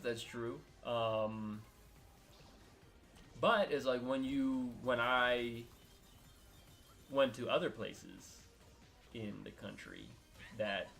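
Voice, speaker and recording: A young man from Miami talks casually on his show with a voice of middling pitch. He rambles freely, full of filler words and restarts as he tells a personal story. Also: creaky, bright, forceful tone. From Miami, United States. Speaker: co-host. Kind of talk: casual chat.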